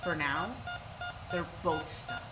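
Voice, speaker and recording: An actress playing a sarcastic, foul-mouthed escaped prisoner in an audio drama, defiant and biting. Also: female voice, lowish voice, wildly swooping pitch, takes it slowly, pushed and bright, reverberant, exaggerated voice. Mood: wary, irritated.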